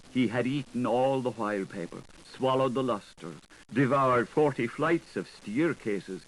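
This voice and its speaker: Theatrical male literary narrator, noisy older recording. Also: medium pitch. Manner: theatrical, playful with wordplay and sound effects. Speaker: audiobook reader. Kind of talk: expressive literary audiobook narration. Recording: 1980s audiobook recording with audible background noise.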